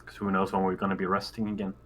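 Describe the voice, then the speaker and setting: A man's voice: low voice, completely flat delivery, very fast, slight background noise. A man playing a tabletop roleplaying game, chatting casually about his moves.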